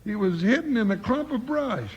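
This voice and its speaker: An actor playing a comical, excitable cowboy sidekick with an American Western accent in a 1950s radio western. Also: male voice, very high-pitched, moderate pace, husky, muffled recording, heavily acted. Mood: folksy, joking. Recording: noisy old radio recording.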